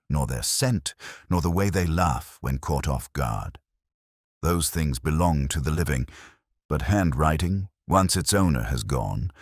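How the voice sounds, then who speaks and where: A man playing a melancholy, reflective police inspector, narrating his case in a measured, literary way like an audio drama. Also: extremely low pitch, slightly rough, dry recording, clean studio sound, full character voice. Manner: measured, literary, brooding delivery. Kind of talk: scripted first-person detective audio drama narration.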